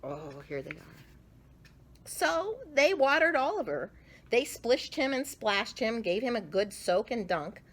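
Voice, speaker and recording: A woman reading a picture book aloud to children in a theatrical way, with dramatic emphasis and drawn-out words. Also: a little high, sing-song, slightly rough. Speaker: children's story reader.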